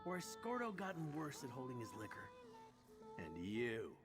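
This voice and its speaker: An actor playing a roguish, sincere adventurer in a video game, wry and a little haunted. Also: male voice, mid-range voice, wildly swooping pitch, normal speed, over music. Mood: playful, wry. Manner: wry banter turning earnest and reflective.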